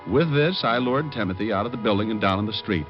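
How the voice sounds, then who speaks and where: A man's voice: on the low side, animated, fast, close to an everyday voice. An actor playing a shrewd, wisecracking male private detective with a wry, sardonic manner in old-time radio drama. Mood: wry narration. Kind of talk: 1940s-50s radio acting and narration. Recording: dull old radio recording with music.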